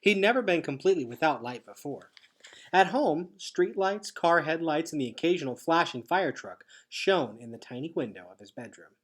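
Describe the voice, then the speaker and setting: Husky man reading a storybook aloud. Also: fairly high pitch, expressive, normal speed, a bit bright. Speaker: teacher reading a chapter aloud. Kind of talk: read-aloud storybook narration.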